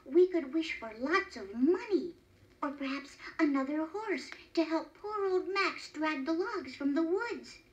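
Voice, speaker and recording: A woman's voice: high-pitched, lively intonation, a little slow, lo-fi sound. A woman playing a plain-spoken, grumbling woodcutter husband with an emphatic manner, in a children's story. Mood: thoughtful. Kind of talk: children's storybook character reading.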